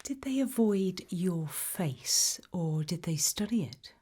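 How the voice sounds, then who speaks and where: British female ASMR artist, low, dark, breathy whisper, gentle interviewer delivery in a roleplay. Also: lively intonation, takes it slowly. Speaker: ASMR roleplay creator playing an investigator.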